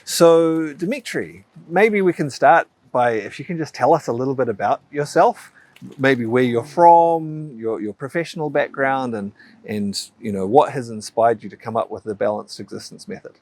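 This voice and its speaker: Relaxed male podcast host. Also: medium pitch, moderate pace. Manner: relaxed, friendly, repeats words. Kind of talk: interview hosting.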